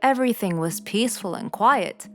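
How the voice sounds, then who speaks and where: A woman's voice: mid-range voice, expressive, normal speed, a bit bright. A woman reading scripted narration for a children's cartoon story. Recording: music behind the voice.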